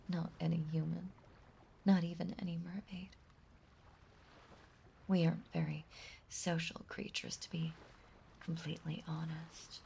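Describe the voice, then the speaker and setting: A woman playing a possessive, clingy mermaid in an intimate ASMR roleplay, speaking softly and breathily and lingering on her words. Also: lowish voice, vocal fry. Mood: confiding, tender. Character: possessive, clingy yandere mermaid, sweetly obsessive young woman. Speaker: voice actress in a roleplay audio. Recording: faint background noise, water ambience. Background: ocean ambience sound effects.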